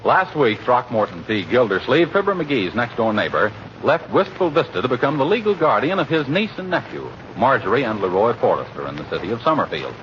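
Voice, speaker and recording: A man's voice: medium pitch, sing-song, brisk, hardly any pauses. A man announcing in a scripted 1940s radio style, polished and emphatic. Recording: dull, slightly noisy old radio recording.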